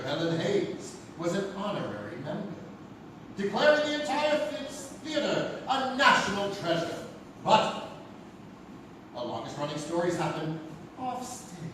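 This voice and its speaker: A male narrator with a high, very animated voice and a theatrical storytelling style. He is far from the mic in a very echoey, noisy theatre. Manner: theatrical, grandiose. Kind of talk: dramatic scripted anthology narration. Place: live theatre stage. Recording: distant, very echoey theatre with noise.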